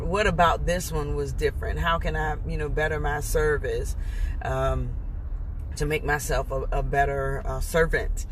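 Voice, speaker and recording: Raspy woman giving a casual pep talk. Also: low voice, slight background noise, low-quality recording. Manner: earnest, encouraging, conversational with many fillers. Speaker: motivational worship speaker. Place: car during a commute.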